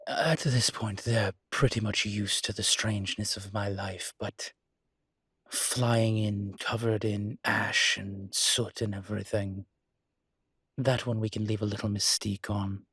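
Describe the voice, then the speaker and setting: Low, husky demon voiced by a man. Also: flat delivery, fairly bright tone, dry recording, full character voice. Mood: dry, guarded. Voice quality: husky, breathy. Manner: hesitant, dry, halting phrasing. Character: weary, guarded male winged demon police consultant. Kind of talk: intimate roleplay audio drama.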